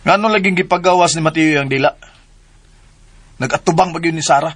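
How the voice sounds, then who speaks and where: An actor with a southern Philippine accent playing a brash, jealous grown son with an insistent, confrontational manner in a radio drama. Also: male voice, a little high, brisk, a bit bright. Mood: puzzled.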